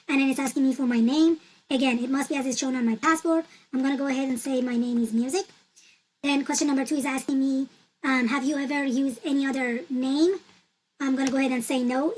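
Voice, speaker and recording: A woman whose first language is Turkish, calmly walking through an online form step by step, repeating her points with fillers. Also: high-pitched, poor audio. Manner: patient, repetitive instructional talk with fillers. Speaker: tutorial presenter. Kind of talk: screen-recorded walkthrough.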